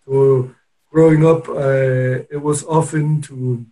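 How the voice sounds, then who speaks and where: A man from Peru speaking as an interview guest, telling his story in a hesitant way with many pauses and restarts. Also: medium pitch, steady tone, dark tone, poor audio. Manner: hesitant, with frequent restarts and pauses while recounting. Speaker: interview guest, company founder. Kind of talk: casual interview conversation.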